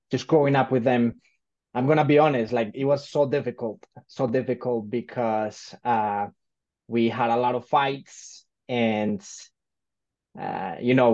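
A man in his late twenties from Colombia, a podcast guest telling his life story, pausing often with fillers and restarts over a remote call. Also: mid-range voice, a little mellow, dull audio. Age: late 20s. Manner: hesitant, many fillers and restarts. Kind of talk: casual storytelling interview.

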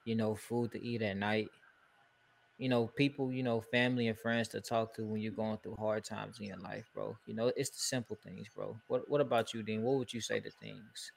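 Houston guy on a podcast, low gravelly voice, pretty monotone, super laid back. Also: moderate pace. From Houston, Texas. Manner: laid-back, peppers talk with "you know" and "bro". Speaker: podcast co-host. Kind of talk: casual podcast chat.

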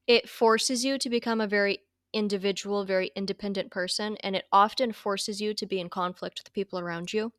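Woman, a bit hoarse, earnest and reflective, dry close sound. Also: mid-range voice, steady tone, fairly quick, hardly any pauses, dry recording. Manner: earnest, reflective, with frequent restarts and laughs. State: losing her voice, slightly hoarse. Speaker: podcast co-host. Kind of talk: casual faith discussion.

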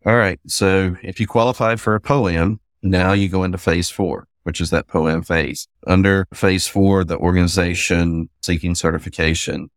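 A man's voice: low voice, steady tone, moderate pace, hardly any pauses, no room sound, studio quality. A man with a Southern US accent, a guest on an interview, explaining a process step by step in a methodical, plainspoken way with folksy asides. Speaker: guest, cybersecurity assessor.

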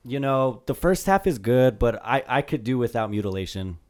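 A young man hosting a casual music podcast, his voice slightly hoarse, laid-back and easygoing. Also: mid-range voice, expressive, normal speed, a little mellow. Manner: laid-back, laughs easily. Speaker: podcast host. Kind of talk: casual album review chat.

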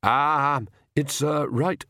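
A man's voice: fairly high pitch, steady tone, very slow, sharp tone, no room sound, studio quality, pitched above the performer's own voice, full character voice. A man voicing a dazed, polite, bewildered man in a storybook, speaking hesitantly. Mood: nervous. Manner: hesitant, stammering.

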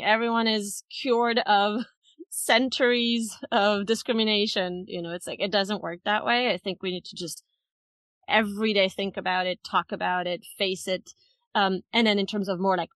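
A woman's voice: lowish voice, a bit bright, no echo. Woman in a dry recording. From France. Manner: thoughtful, explanatory, often checks with "right?" and "you know". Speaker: interview guest, head of people at a tech company. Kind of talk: conversational interview answers.

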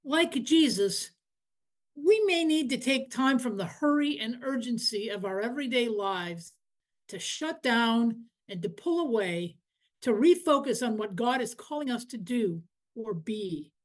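A woman reading a scripted scripture reflection in a measured, earnest way with clear phrasing. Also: a little high, lively intonation. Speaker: library director giving a scripture reflection. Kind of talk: scripted devotional reflection, read aloud.

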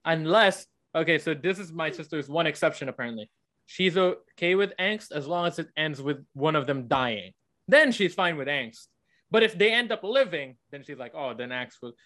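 A man's voice: on the high side, lively intonation, normal speed, bright, forceful tone. A man co-hosting a book podcast, chatting casually in a teasing, argumentative way. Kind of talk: casual bantering book chat.

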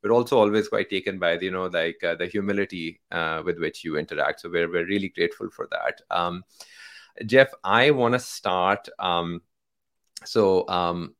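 A man's voice: deep voice, normal speed, a bit bright. A man from Pakistan hosting a casual conversation, hesitant, with many fillers and restarts. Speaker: host.

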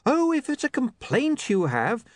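A man's voice: very high voice, wildly swooping pitch, unhurried, dry recording, voice pushed up, full character voice. A man voicing a mild, earnest editor who speaks in a courteous, hesitant, placating way.

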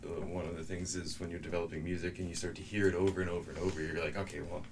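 A man's voice: low-pitched, little pitch movement, racing, vocal fry. A man from a band answering interview questions in a hesitant way, with lots of fillers. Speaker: band member. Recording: distant mic with background noise.

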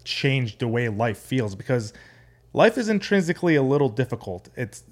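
A man's voice: mid-range voice, big pitch swings, moderate pace. An American man speaking as a podcast guest in an earnest, explanatory, conversational way. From United States and Jordan.

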